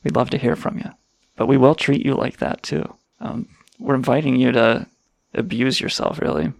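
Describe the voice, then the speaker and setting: A man's voice: mid-range voice, moderate pace, creaky, dry recording. A man hosting a crude comedy radio show, sarcastic and rambling, swearing freely. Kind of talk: irreverent comedic banter.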